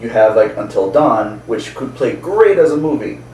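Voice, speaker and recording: A man's voice: mid-range voice, lively intonation, moderate pace, a little mellow. Casual male co-host in an echoey room. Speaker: co-host of a video channel. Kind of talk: casual chat. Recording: roomy echo, distant mic, faint noise.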